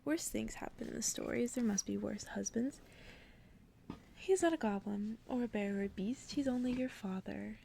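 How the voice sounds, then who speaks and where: A woman reading a story aloud as ASMR in an intimate, hushed whisper. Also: fairly high pitch, normal speed, creaky, a little hiss or hum. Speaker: ASMR reader.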